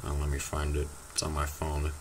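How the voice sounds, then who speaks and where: A teenage boy, slightly hoarse from losing his voice, chatting casually to his viewers in a rambling, halting way full of fillers. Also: a bass voice, monotone, darkish tone, slight background noise. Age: teens. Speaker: vlogger. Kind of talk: casual vlog chat to viewers.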